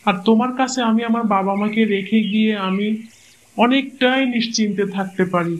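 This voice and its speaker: Man voicing a trusting cartoon husband, high voice. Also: brisk, natural voice. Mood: pleased. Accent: Bangladeshi Bengali. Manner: calm, reasonable explaining. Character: easygoing young husband, trusting. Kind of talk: Bangla cartoon dubbing. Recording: dull lo-fi sound.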